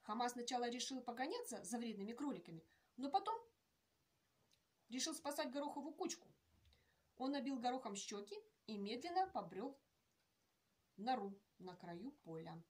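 A woman in her forties reads a children's fairy tale in a gentle, measured way, her voice fairly high and a little husky. She is recorded indoors on a webcam at desk distance. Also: animated. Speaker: author reading her own tale. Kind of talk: children's story reading. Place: indoor room with painted canvases.

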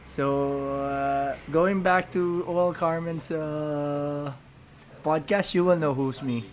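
A man from the Philippines, speaking as a non-native speaker, talks casually as a podcast guest, halting with many restarts and fillers. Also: on the high side, steady tone, slight background noise. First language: Filipino languages. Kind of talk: casual chat.

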